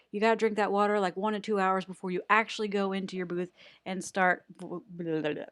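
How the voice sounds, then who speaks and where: A woman's voice: medium pitch, no echo, studio quality. A woman giving a casual, unscripted editing tutorial in a chatty, rambling way with self-deprecating humour.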